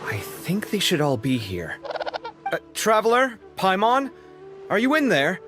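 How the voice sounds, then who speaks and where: An actor playing an expressive, fretful young man in a video game. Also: sits high, measured pace, music in the background, full character voice. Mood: worried, puzzled.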